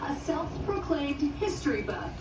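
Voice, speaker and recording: Woman news reporter, highish voice, coming off a tv across a really noisy room. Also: big pitch swings, measured pace. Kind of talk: scripted news narration. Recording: distant roomy TV playback, loud noise.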